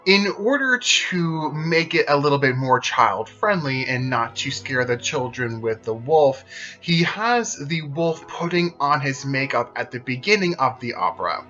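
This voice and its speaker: A man presenting a video, retelling stories in a steady explanatory way as scripted narration. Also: mid-range voice, a little slow, dull audio, faint music behind.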